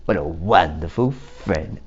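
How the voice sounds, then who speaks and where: A boastful, lonely storybook goat played by a man shows off in swooping, animated tones. The delivery is showy and unhurried, on a slightly dull recording. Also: deep voice, a little hiss or hum. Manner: bragging, showy. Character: boastful lonely male goat on a tower throne.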